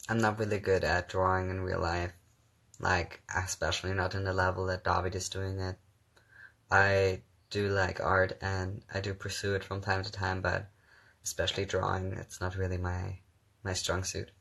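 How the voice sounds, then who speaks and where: Low gravelly young German man. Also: completely flat delivery, moderate pace. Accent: mixed English, not German-sounding. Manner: giggly, soft-spoken, hesitant with many fillers. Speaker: live streamer reading viewer comments. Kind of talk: casual live-stream chat. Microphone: phone live stream.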